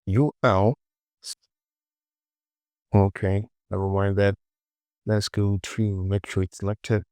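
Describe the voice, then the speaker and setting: A man with a very deep voice talks through a casual screen tutorial. He speaks slowly in short, informal phrases and often repeats himself. The sound is dry and close. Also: little pitch movement, warm tone, no echo. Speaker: 3D modelling tutor.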